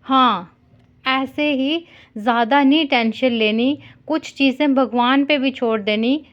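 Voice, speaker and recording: A woman chatting casually on a call, consoling and gently scolding as she tells a simple story in a conversational way. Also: high-pitched, takes it slowly. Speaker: caller consoling an older sister figure. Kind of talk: casual phone chat with storytelling. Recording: dull lo-fi call recording.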